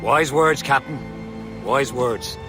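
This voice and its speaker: An actor playing a proud, imperious gentleman sea captain in a historical video game, formal and commanding. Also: mid-range voice, takes it slowly, soft background music. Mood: wry, approving.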